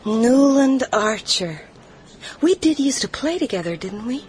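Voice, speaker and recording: A woman's voice: fairly high pitch, expressive, measured pace, a little mellow, a little hiss or hum. A woman playing a worldly, playful young countess in a period audio drama, languid and teasing. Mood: teasing, nostalgic.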